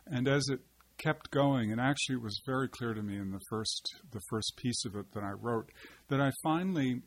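A man, a poet, answering interview questions in a halting, reflective way, often pausing with fillers and restarting his sentences as he searches for words. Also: mid-range voice. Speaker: poet and translator, interview guest. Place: seated at a table.

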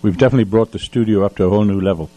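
A man's voice: lowish voice, rapid-fire, warm tone. Folksy man hosting a radio show. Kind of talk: casual radio chat. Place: community radio studio. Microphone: studio radio microphone.

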